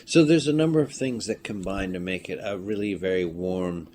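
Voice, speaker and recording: A man chatting casually as an interview guest, musing and halting, with a dry sense of humor. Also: deep voice, a little mellow. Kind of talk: casual conversation. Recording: noisy room with ambient sound.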